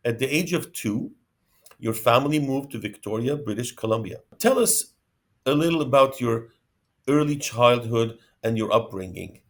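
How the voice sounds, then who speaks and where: A man's voice: medium pitch, animated, normal speed. A man hosting an interview, reading formal, prepared introductions and questions. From Canada. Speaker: host.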